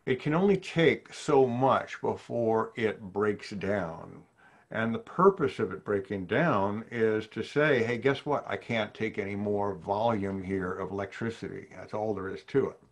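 An older man from New Orleans, a psychiatrist giving a measured webinar lecture to physicians over slides, often posing questions to his listeners, heard through a webcam in his home study. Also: lowish voice. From New Orleans, USA. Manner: measured, thoughtful, poses questions to the audience. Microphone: video call webcam.

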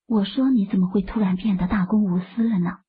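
A woman playing a sweet, tender young wife who speaks coyly and softly to her husband in an audiobook drama. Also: medium pitch, fairly quick, husky. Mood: teasing. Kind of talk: acted audiobook dialogue. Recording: muffled, band-limited lo-fi audio.

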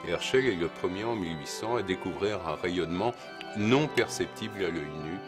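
A man with a very low-pitched voice explains science for an educational documentary, with clear music behind him. He speaks off the cuff in a teacherly way, pausing and repeating words as he searches for the right phrase.

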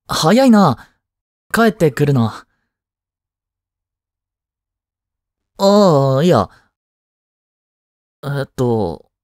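A man's voice: medium pitch, darkish tone, no room sound, clean studio sound. A man playing a flustered teenage boy jealous over his childhood friend in a romantic voice drama, boyish and hesitant. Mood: awkward, cheeky. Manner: boyish, hesitant, muttering to himself. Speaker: amateur voice-drama actor. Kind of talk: Japanese romantic voice drama acting.

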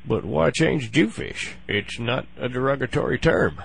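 A man with a gravelly voice making a comic prank phone call, halting and full of mock-earnest complaint. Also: medium pitch, lively intonation. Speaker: prank caller on a comedy show.

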